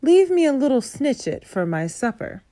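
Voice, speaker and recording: A woman voicing a gentle, clever male giant who speaks with playful, mangled grammar and made-up words. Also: high-pitched, sing-song, normal speed, dry recording, pitched above the performer's own voice. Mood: coaxing, playful.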